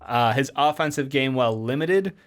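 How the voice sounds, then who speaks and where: Mid-pitched man talking sports casually. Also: lively intonation, normal speed. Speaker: sports show co-host and analyst.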